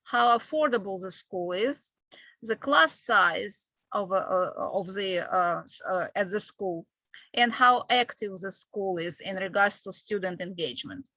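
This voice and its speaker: A woman from Ukraine with a Ukrainian accent, presenting a webinar in a halting, explanatory way as an admissions manager. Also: mid-range voice, pushed and bright, no echo. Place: online video call with screen sharing.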